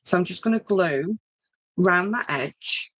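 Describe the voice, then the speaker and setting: Low-pitched Yorkshire woman, chatty crafter. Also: lively intonation, measured pace, a bit bright, dry recording. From Yorkshire, northern England. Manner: chatty, thinking aloud, tag questions. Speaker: craft tutorial host. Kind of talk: informal live craft demonstration, chatting to viewers.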